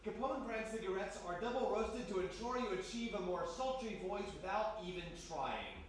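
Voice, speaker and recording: A man giving theatrical, declamatory old-time radio announcements and scripted narration at a live performance. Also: sits high. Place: live performance in a reverberant indoor room. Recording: echoey live hall, distant mic, faint noise.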